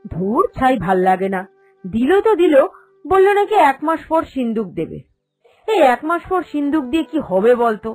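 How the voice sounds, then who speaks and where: A woman's voice: sits high, very animated, normal speed, a little mellow. A woman voicing a crafty, greedy elder daughter-in-law in a cartoon, bossy and sly in her delivery. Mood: impatient, sly. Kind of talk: cartoon storytelling dialogue. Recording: voice over background music.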